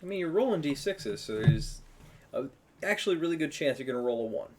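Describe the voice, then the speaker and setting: A man's voice: a little high, big pitch swings, brisk, a little hiss or hum. A man chatting dryly while painting miniatures, talking through the reasoning step by step. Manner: dry, wry, explains things step by step. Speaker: show regular.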